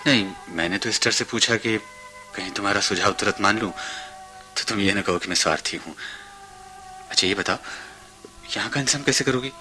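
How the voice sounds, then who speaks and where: An earnest young suitor in a radio play, speaking with devotion and slight hesitation. The voice is fairly low yet strikingly bright, with faint music around it. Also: male voice, brisk. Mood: earnest, concerned. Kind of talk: Hindi radio play acting.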